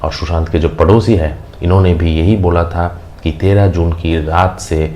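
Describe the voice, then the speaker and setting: A man's voice: low voice, fairly even intonation, moderate pace, warm tone. A man with an Indian accent giving opinionated news commentary as a host, emphatic and repetitive. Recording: faint background noise.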